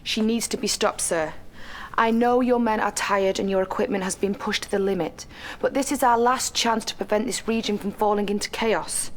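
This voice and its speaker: Actress as polite businesslike army briefing lady, medium pitch, game cutscene, bit of background noise. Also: fairly even intonation, normal speed, natural voice. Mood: approving, businesslike. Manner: crisp, deferential, businesslike. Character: composed, courteous female Allied military briefing officer. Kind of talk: game mission briefing acting.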